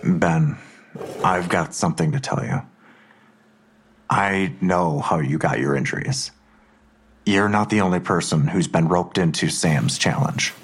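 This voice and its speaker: An actor playing a worried, protective father in an audio drama, husky and earnest, reassuring but insistent. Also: sits low, a little slow, pauses often, full character voice.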